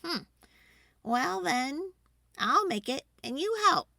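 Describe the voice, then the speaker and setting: A woman's voice: high voice, animated, slow, gravelly, sharp tone, no echo, clean studio sound, pitched above the performer's own voice, exaggerated voice. A woman voicing a wary, grumbling older storybook wife who gives in reluctantly, speaking with emphatic warnings.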